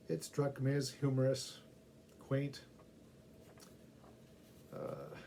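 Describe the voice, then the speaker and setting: A man's voice: medium pitch, vocal fry, mellow, low-quality recording. A middle-aged man reading short humorous stories aloud, with casual asides to the listeners. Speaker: story reader. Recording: faint background noise.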